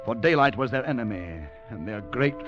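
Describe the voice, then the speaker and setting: An actor playing a weary, fearful time traveller, narrating gravely and deliberately in an old-time radio drama. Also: male voice, mid-range voice, expressive, lightly acted. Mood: tense, urgent. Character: Fowler, weary fearful male time traveller recounting his ordeal. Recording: muffled old radio recording with music behind.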